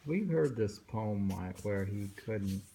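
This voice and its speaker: A man reading poetry aloud informally and commenting on it in a halting, musing way, pausing often. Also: on the low side, animated, vocal fry, mellow. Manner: halting, musing, with frequent pauses. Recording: faint background noise, home recording.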